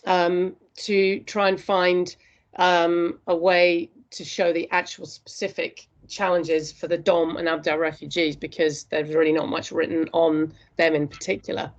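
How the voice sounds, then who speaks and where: Woman, lowish voice, student research presentation, earnest, hesitant fillers, dull video-call sound. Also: measured pace. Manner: earnest, steady, with frequent hesitations. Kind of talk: academic presentation.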